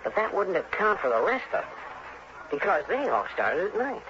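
An actor playing a harried, chummy insurance agent in an early 1960s radio drama, earnest, hurried and folksy. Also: male voice, sits high, very animated. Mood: worried. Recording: noisy, dull vintage radio recording.

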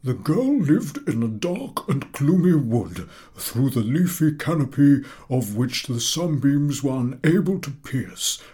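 A man's voice: medium pitch, big pitch swings, warm tone, breathy edge, pitched above the performer's own voice, full character voice. A man putting on a pompous old professor. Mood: coaxing. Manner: drawn-out, coaxing schoolmaster questioning. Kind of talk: audiobook character voice.

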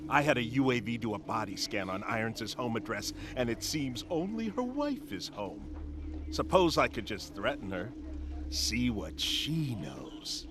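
An actor playing a corrupt, vengeful cop in an audio drama, taunting and threatening in a deliberate way. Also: male voice, medium pitch, sing-song, noisy background. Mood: menacing.

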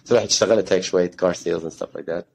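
A man's voice: low-pitched, steady tone, very fast, vocal fry, husky. A man in his thirties, from Ghana, telling his story as an interview guest in a rambling way, with Arabic phrases mixed into his English. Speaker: guest, gym co-founder.

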